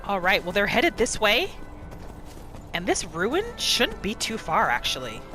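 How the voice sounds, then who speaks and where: A woman giving casual, chatty roleplay commentary as the host of a gaming channel, narrating in an expressive way. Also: medium pitch, a little slow, faint music behind. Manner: expressive, chatty, narrating characters' thoughts in third person.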